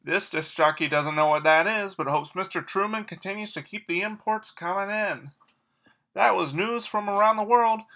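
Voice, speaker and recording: A man's voice: high voice, normal speed, pushed and bright. A man playing an old-time radio announcer and newsreader, hosting a parody of a vintage variety broadcast. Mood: showy, announcerly. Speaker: radio host.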